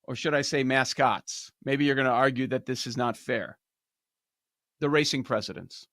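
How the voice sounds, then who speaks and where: A man hosting a sports betting show, animated and laughing through casual banter. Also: mid-range voice, moderate pace, no room sound, clean studio sound. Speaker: podcast host.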